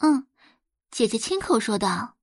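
A woman voicing a falsely innocent teenage schoolgirl in an audio drama, soft, gentle and coyly pleading. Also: on the high side, sing-song, a little slow, breathy edge, dry recording, studio quality, heavily acted. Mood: gently pleading. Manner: sighing, coy, pleading.

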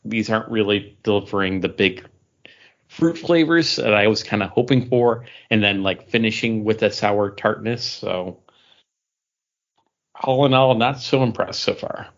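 A man's voice: on the low side, measured pace. A man chatting casually as he hosts a podcast. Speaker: podcast host. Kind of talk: casual chat. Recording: dull, lo-fi podcast audio.

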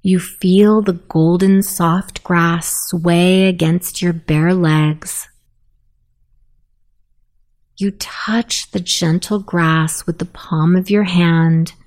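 A woman narrating a guided sleep meditation in a soothing, softly spoken, unhurried manner. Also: fairly low pitch, fairly even intonation.

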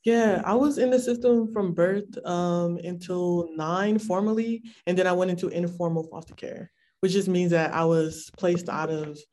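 A woman from California, a guest on an interview show, speaking in a quick, conversational way. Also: lowish voice, steady tone, dark tone.

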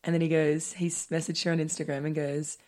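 A woman's voice: deep voice, little pitch movement, fast talker, a little mellow. A woman co-hosting a casual, tipsy chat podcast, telling stories. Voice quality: nasal. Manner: storytelling, laughs a lot. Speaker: podcast co-host.

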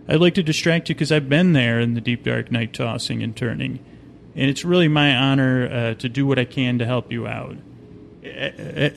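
A man hosting a sleep podcast in a meandering, hesitant, slightly goofy soothing monologue, recorded on location inside an observation wheel cabin. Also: gravelly. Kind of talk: rambling, soothing bedtime monologue. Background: low ambient hum, faint.

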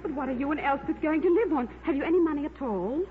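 A woman's voice: high voice, quick pace, lightly acted. Woman playing earnest, insistent young female lead in 1950s radio drama; faltering delivery, muffled reverberant recording with hum. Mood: concerned. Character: earnest, caring, fretful young woman, fond of a scientist.

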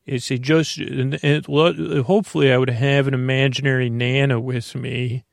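A man hosting a sleep podcast, talking in a slow, meandering bedtime monologue with a creaky, dulcet voice and many self-interruptions. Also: mid-range voice, fairly even intonation, dry recording, clean studio sound.

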